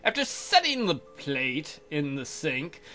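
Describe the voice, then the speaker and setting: A man's voice: fairly high pitch, big pitch swings, slow, bright, forceful tone, faint music behind. A man hosting a let's play, reading visual novel text aloud and cracking irreverent, crude jokes. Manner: irreverent, crude joking, frequent mock voices. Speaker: let's play video host.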